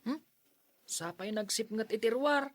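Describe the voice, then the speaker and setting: A woman's voice: a little high, moderate pace, dry recording, close to an everyday voice. A woman playing a timid, easily frightened schoolboy with a fretful, whiny delivery in an Ilocano radio drama. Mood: uneasy.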